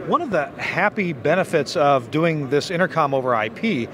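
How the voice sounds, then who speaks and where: A middle-aged or older man with a fairly high voice works as an interviewer on a trade show floor. He talks into a handheld microphone, and the noise around him is clear. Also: animated, moderate pace. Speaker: interviewer and host. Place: trade show booth, indoors.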